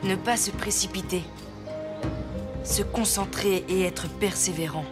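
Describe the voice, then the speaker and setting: A woman's voice: medium pitch, unhurried, over music, natural voice. A woman voicing a brave, kind and determined young boy in a French cartoon. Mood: curious, focused.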